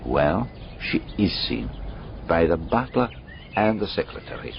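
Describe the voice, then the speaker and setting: An actor playing a shrewd, methodical foreign detective in a radio drama, deliberate and courteous, musing aloud in rhetorical questions. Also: male voice, deep voice, big pitch swings, full character voice. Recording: dull radio recording, clearly noisy background.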